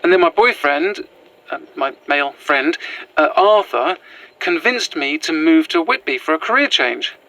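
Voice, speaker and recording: A woman's voice: deep voice, expressive, normal speed, fairly bright tone, low-quality recording. A woman with a British accent playing an anxious, earnest former teacher on the run in a horror audio drama, hesitant and apologetic.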